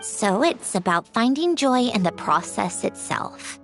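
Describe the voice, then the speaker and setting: A guarded young woman from an anime game, with a high, extremely expressive voice. She is brisk and wry, keeping a skeptical, business-like edge. Music plays behind her. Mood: skeptical, pensive. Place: studio recording with music behind.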